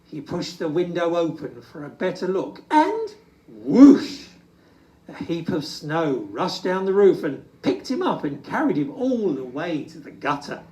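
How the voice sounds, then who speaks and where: Expressive storybook reader, unhurried and dramatic, recorded at some distance in an echoing room. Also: medium pitch. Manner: dramatic, drawn-out emphasis. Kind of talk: expressive children's story reading. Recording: roomy, distant microphone.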